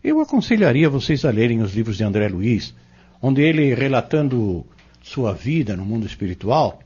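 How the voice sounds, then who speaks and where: A man's voice: medium pitch, big pitch swings, normal speed, a little mellow, breathy edge. A man playing a learned, patient spiritist mentor in an audio novel, speaking formally and didactically with a Brazilian accent. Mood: patient, instructive. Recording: dull lo-fi audio drama recording.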